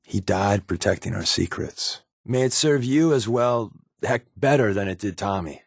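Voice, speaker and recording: An actor playing a wry, secretive spy in a video game, with a glib, offhand, conversational delivery. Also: male voice, fairly low pitch, animated, normal speed, vocal fry, dry recording, studio quality. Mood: matter-of-fact. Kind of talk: video game companion dialogue.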